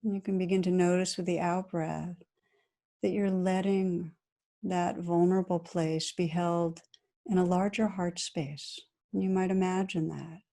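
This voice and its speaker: A woman meditation teacher guiding a practice in a gentle, soothing, unhurried manner with long pauses between phrases. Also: on the low side, steady tone, vocal fry. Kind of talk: guided meditation.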